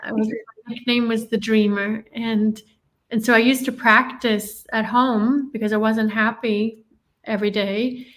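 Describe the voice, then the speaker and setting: A woman's voice: a little high, little pitch movement, a little slow, a bit bright, poor audio. A woman from Ireland, telling her life story in an earnest, reflective way as an interview guest. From Northern Ireland.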